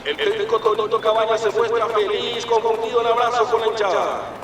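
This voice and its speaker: A man doing a frantic football commentator, high and echoey. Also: close to an everyday voice. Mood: excited. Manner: rapid, shouting goal calls. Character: excited male football radio commentator, adult. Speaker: match commentator. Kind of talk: radio drama sports commentary. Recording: echoey, distant, noisy stadium sound.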